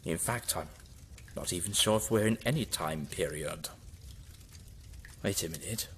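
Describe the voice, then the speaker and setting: An actor playing a wary, inquisitive time-travelling Doctor with dry irony and a halting, musing manner in an audio drama. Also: male voice, low-pitched, a bit bright, slight background noise. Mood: puzzled, suspicious. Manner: halting, musing, with wry asides.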